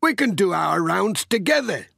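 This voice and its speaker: A man's voice: high voice, very animated, moderate pace, creaky, fairly bright tone, dry recording, clean studio sound, pitched above the performer's own voice, full character voice. A man playing a hearty, helpful village shopkeeper in a children's audiobook. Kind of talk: children's audiobook character reading.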